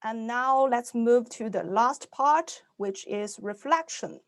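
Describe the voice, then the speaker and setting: A young adult woman from Shanghai whose first language is Chinese, giving an online talk in English about her writing, pausing often with "uh" and "um" and restarting her sentences. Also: a little high, dry recording, studio quality. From Shanghai, China. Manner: hesitant, many "uh" and "um" fillers, restarts. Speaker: science fiction author giving a talk.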